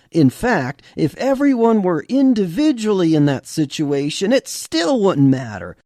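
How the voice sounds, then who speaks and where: A man voicing a calm know-it-all who explains things patiently in an audio story. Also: sits high, no room sound, clean studio sound. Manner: explanatory, measured.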